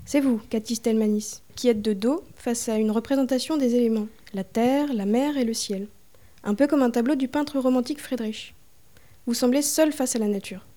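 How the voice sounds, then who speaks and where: A woman's voice: on the high side, moderate pace, dark tone. A woman interviewer reads out long, prepared questions in a formal, careful manner.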